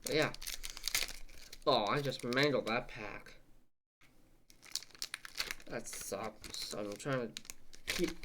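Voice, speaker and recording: A young man with a stuffed-up, sniffly voice talks at an unhurried pace. He rambles and mutters to himself, swearing now and then. Faint crinkling of packs sits behind him. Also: mid-range voice, noisy background. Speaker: channel host opening card packs. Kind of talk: casual unboxing vlog chat.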